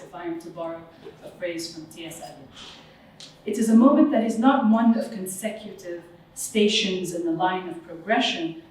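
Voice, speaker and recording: A woman from Lebanon giving an academic lecture on Arabic poetry, measured and thoughtful with some hesitations. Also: mid-range voice, darkish tone, a little hiss or hum. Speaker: guest lecturer on Arabic literature. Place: lecture room at a cultural center. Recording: distant mic in a very echoey hall.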